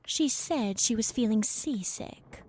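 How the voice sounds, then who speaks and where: A woman's voice: a little high, animated, slow pace, darkish tone. A woman playing a protective, patient minder of a child in an audio drama, motherly and admonishing.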